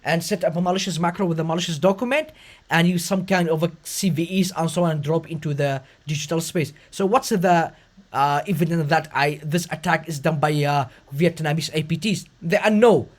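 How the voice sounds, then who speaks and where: High-pitched host, lots of uh and restarts, explaining stuff. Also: male voice. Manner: fast, effortful talk with frequent fillers and restarts. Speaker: podcast host. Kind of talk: conversational interview.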